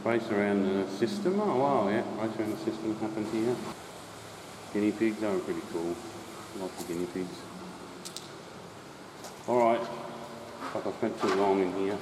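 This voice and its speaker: A man's voice: fairly low pitch, normal speed, pauses often. A man casually narrating his walk through a stormwater drain, rambling and swearing freely. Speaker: drain explorer host. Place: underground stormwater drain tunnel. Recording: echoey tunnel with steady noise.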